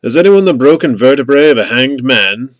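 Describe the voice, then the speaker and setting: A man's voice: mid-range voice, animated, a little fast, no echo, clean studio sound, lightly acted. A man voicing a courteous, haggling male graverobber with a Hungarian accent in an audiobook. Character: deep, fast-talking Hungarian male graverobber, politely haggling.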